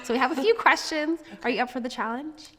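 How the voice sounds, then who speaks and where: Bubbly laughing woman host, higher voice, long reverb, faint music behind. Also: quick pace. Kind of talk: casual chat quiz. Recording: reverberant room with music underneath.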